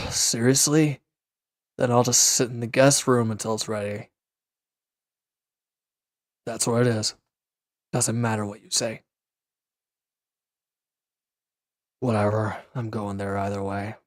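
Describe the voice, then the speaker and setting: A man's voice: lowish voice, fairly even intonation, measured pace, pauses often, dry recording, studio quality, close to an everyday voice. A man playing a wounded former villain in an audio roleplay, sullen, defensive and restless, talking in a curt, grudging, sarcastic way. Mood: exasperated, stubborn. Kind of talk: intimate audio roleplay acting.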